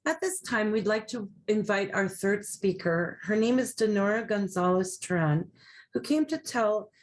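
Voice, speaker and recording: A woman hosts an online community event. She thanks each speaker and politely introduces the next one. Her voice sits in a mid-range pitch. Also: animated, normal speed, rough recording. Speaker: event host.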